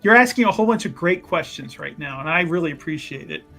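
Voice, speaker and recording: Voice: medium pitch, expressive, quick pace, pushed and bright, faint music behind. A speaker improvising in-character dialogue in a tabletop roleplaying game. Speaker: tabletop RPG participant.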